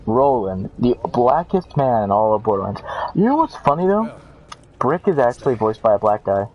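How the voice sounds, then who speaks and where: A man's voice: mid-range voice, very animated, moderate pace, highs cut off, slight background noise. A man giving casual, rambling, self-deprecating commentary while playing a video game. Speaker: let's play gamer.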